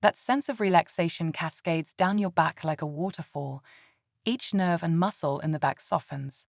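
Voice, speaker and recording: A woman leading a scripted guided meditation in a calm, soothing and gentle way. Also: fairly low pitch, normal speed, vocal fry, no echo, studio quality.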